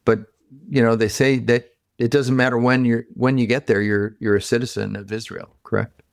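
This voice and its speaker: A man's voice: medium pitch, level tone, moderate pace, vocal fry, clear, resonant tone, dry recording, studio quality. A man hosting a podcast, chatting in a casual, folksy way. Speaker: podcast host. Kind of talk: podcast interview.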